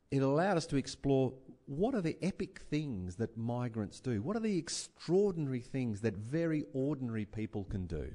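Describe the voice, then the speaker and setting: A man's voice: medium pitch, dark tone. A man speaking on a writers festival panel, earnest and reflective, often restarting and repeating words as he thinks aloud. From Australia. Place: writers festival session before an audience.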